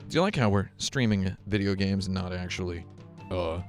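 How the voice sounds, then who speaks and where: A man hosting a casual live game stream, talking with playful, deadpan asides. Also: deep voice, normal speed, soft background music.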